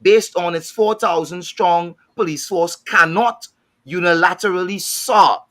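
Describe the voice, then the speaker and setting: A man's voice: high voice, measured pace, a bit bright. A man with a Trinidadian accent speaking on a panel discussion, thoughtful, often repeating words while he searches for the next thought. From Trinidad and Tobago. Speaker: panel guest.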